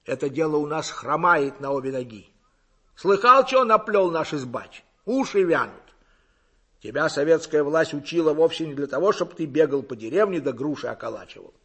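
A man voicing a gruff, commanding village boss in an audiobook, barking blunt, firm orders. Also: a little high, expressive, moderate pace, pushed and bright, exaggerated voice. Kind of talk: audiobook character acting.

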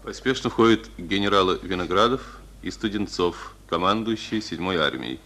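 A man narrating and reading the stage directions of a radio drama in a calm, even tone. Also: low-pitched, moderate pace. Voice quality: calm, even announcer delivery.

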